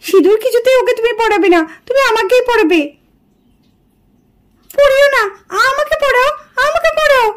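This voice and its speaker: A woman's voice: extremely high pitch, animated, normal speed, long pauses. A woman voicing a jealous, defiant mother spirit in a doll cartoon, insistent and scolding. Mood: desperate. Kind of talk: puppet doll cartoon dubbing.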